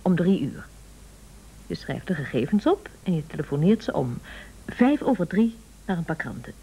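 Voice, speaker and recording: Een actrice in een Nederlands hoorspel speelt een sluwe, praktische echtgenote die bazig en vleiend aandringt. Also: female voice, on the low side, sing-song, moderate pace, dark tone, slightly rough, heavily acted, low-quality recording. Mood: eager, insistent. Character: shrewd, scheming, persuasive wife, practical and bossy. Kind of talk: Dutch radio play acting.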